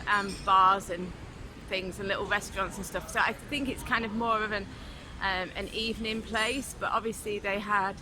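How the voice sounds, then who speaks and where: A woman from the United Kingdom giving a casual walking tour of a city to a handheld camera, chatty and full of ums. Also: mid-range voice. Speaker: travel vlogger. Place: outdoors in a city, beach and streets. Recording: outdoor street noise with traffic.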